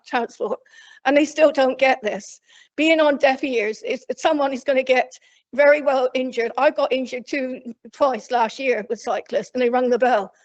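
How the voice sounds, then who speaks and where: A woman from Cornwall speaking loudly and plainly from memory to a council committee, rambling with frequent restarts. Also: high voice. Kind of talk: unscripted address from memory. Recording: dull lo-fi council meeting recording.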